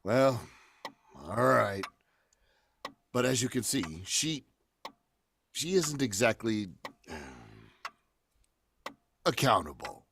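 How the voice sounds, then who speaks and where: A man's voice: medium pitch, animated, slow pace, creaky, long pauses, fairly bright tone, a little hiss or hum, exaggerated voice. A man playing a smug real estate agent, condescending and a little hesitant, in 1940s radio drama style. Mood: indignant, dismissive.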